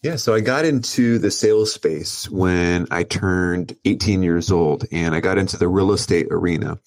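A man from Southern California, a guest on a podcast, telling his story in a relaxed way with small restarts. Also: sits low, moderate pace, no room sound. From Oceanside, California, USA. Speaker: guest, business owner. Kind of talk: casual interview.

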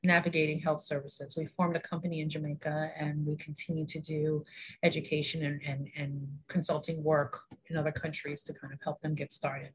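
A woman's voice: low voice, flat delivery, brisk, breathy edge, poor audio. A woman in her forties from New York, a health education consultant talking earnestly as an interview guest, with frequent fillers and easy laughter. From New York, USA. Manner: warm, earnest, laughs often, many fillers. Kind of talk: casual interview conversation.

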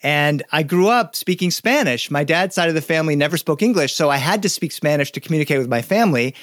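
A man sharing his background as a guest in a relaxed conversation, with a fairly high voice. He tells his story anecdotally, with fillers and quick restarts, and the recording sounds dry and close. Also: no room sound. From United States.

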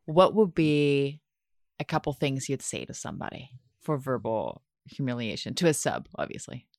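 A woman's voice: extremely low pitch, expressive, moderate pace, gravelly, a little mellow, dry recording. A woman interviewing a guest, asking curious questions and reacting with amusement.

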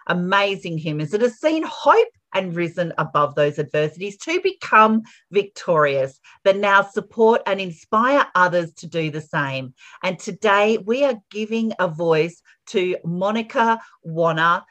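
A woman's voice: lowish voice, animated, unhurried, hardly any pauses, fairly bright tone. A woman hosting an interview podcast, effusive and emphatic in her praise of the guest. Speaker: podcast host.

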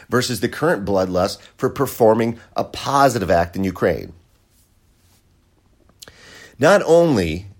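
A sarcastic American man rants through a podcast monologue, swearing freely. His voice sits fairly low but swings widely up and down as he mocks and jabs. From United States. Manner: sarcastic, profane ranting. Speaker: podcast host. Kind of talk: opinion monologue.

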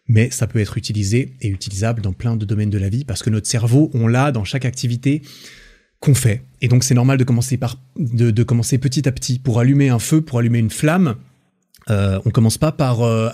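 A young man from France hosting a solo podcast, talking in a relaxed, rambling way with lots of fillers and restarts. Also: medium pitch, brisk, slightly rough. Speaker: podcast host. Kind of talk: casual solo podcast monologue.

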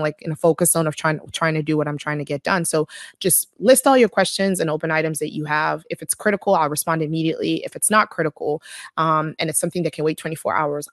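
A woman's voice: low voice, dry recording, studio quality. A woman hosting a solo business podcast, talking in a conversational, practical way with frequent fillers. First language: not Korean. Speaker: podcast host.

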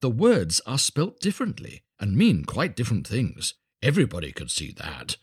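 A man voicing a pompous, self-satisfied storybook miller who moralizes in drawn-out phrases. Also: fairly low pitch, wildly swooping pitch, breathy edge, no echo, clean studio sound, exaggerated voice. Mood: stern, self-righteous. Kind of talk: storybook character voice.

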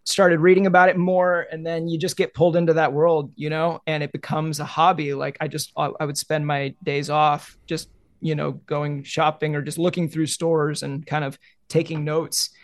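A man's voice: fairly high pitch, level tone, moderate pace, no room sound, studio quality. A young man chatting casually as a guest, rambling with many "like"s. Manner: rambling, heavy use of "like". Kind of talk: casual conversation.

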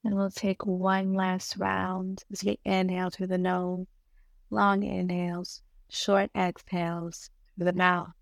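A woman guiding a meditation in a soothing, gentle manner, giving unhurried instructions with long pauses between short phrases. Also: lowish voice, monotone, vocal fry, no echo. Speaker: meditation guide. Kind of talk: guided meditation narration.

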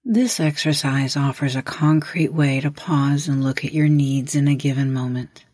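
A woman with a low voice leads a guided meditation in a measured, instructional way. Her tone is slightly husky, and she leaves long pauses between prompts. The sound is very dry, with no room around it. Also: mellow, no room sound. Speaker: host, guided meditation leader.

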